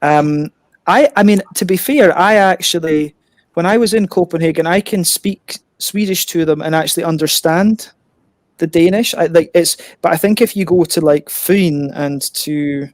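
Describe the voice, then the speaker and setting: Scottish beer host, slightly higher voice, chatty and giggly, dry close sound. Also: male voice, moderate pace, no room sound. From Scotland. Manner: laughs often, rambling with many restarts. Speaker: beer reviewer hosting an online hangout. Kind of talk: casual chat. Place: home, on a video call.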